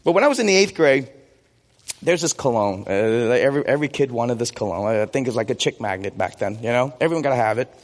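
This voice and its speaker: A man's voice: medium pitch, expressive, fast. A man in his forties, a native Vietnamese speaker, preaching conversationally with personal stories and questions he answers himself. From United States. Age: 40s. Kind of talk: sermon with personal anecdotes. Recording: long hall reverb.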